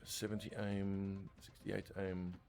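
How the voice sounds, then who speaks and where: A man's voice: deep voice, monotone, takes it slowly, gravelly, darkish tone, faint music behind. A man casually commentating his own strategy game play, thinking aloud and swearing now and then. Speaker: gaming video host.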